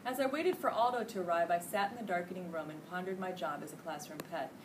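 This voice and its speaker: A middle-aged American woman reading a children's storybook aloud with expressive, emphatic delivery. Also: on the low side, fast talker. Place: indoor school classroom. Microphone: distant camera microphone. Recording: distant camera mic, noisy room.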